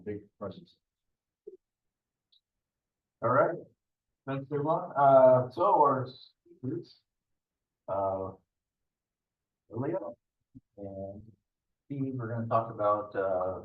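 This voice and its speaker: Easygoing man on a thin, distant mic. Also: medium pitch. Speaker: club meeting leader. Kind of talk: running a club meeting. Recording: distant, phone-like sound.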